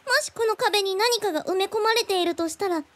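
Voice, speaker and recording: A woman's voice: very high voice, quick pace, fairly bright tone. A woman voicing a precocious genius little girl in a Japanese radio drama, speaking with quaint, very formal, old-fashioned politeness. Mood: curious.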